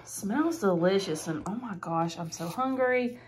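A woman's voice: medium pitch, lively intonation, a little slow, slight background noise. A woman, chatting casually as she cooks in her home kitchen. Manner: chatty, enthusiastic, says y'all often. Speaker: cooking vlogger. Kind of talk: casual cook-along chat.

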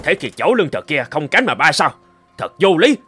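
Stingy hot-tempered cartoon lord, pompous, highish and very animated, music behind. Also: male voice, moderate pace. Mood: angry. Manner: pompous, exclamatory. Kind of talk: animated folktale acting.

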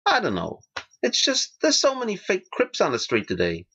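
A sweet, earnest newsboy with a limp, voiced by a woman reading a story, plaintive and breathy with a very lively rise and fall. The sound is slightly dull and muffled. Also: medium pitch, moderate pace, full character voice. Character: sweet limping teenage newsboy.

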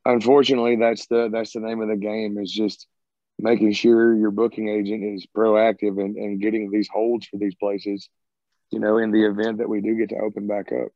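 A man in his thirties with a Southern drawl, answering questions in a relaxed, rambling way and dropping "man" often. His voice sits a little low. The sound is dry and dull, like audio from a video call. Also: moderate pace, dry recording. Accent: Southern American. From American South. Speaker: rock band singer and guitarist. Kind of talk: casual interview answers. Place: home, by a sided wall. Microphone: video call, earbuds.

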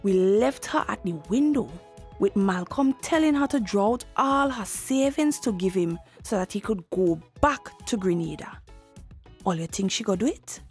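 A woman's voice: mid-range voice, animated, moderate pace, faint music behind. A woman with a Tobagonian accent narrating a drama recap in a gossipy, teasing storytelling style. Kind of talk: scripted storytelling narration in dialect.

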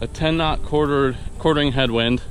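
A man's voice: mid-range voice, moderate pace, faint music behind. Young man vlogging while walking outdoors. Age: young adult. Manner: rambling, enthusiastic, many fillers and restarts. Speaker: vlogger and new private pilot. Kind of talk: casual aircraft review to camera. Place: outdoors on a wooded dirt path. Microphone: handheld action camera at arm's length.

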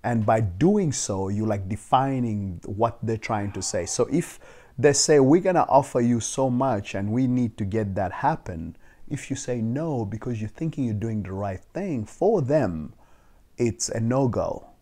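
A man's voice: mid-range voice, lively intonation, measured pace, mellow. A man in his twenties, raised in South Africa, being interviewed on a living-room couch, talking thoughtfully with frequent restarts. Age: 20s. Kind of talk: casual sit-down interview. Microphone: lapel mic.

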